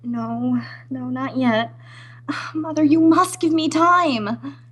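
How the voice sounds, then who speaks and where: A woman's voice: high-pitched, slow pace, fairly bright tone. A woman playing an anguished young island daughter torn by love, pleading breathlessly in an old-time radio melodrama. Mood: evasive, nervous.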